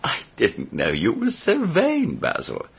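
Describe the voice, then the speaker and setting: An actor playing a witty, cynical middle-aged aristocrat with an upper-class English accent, arch and theatrical in a radio drama. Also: male voice, fairly high pitch, wildly swooping pitch, a little slow, gravelly, husky, full character voice. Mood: amused disdain. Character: witty, cynical English aristocrat, worldly and languid, recalling the past. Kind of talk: radio drama acting and first-person narration.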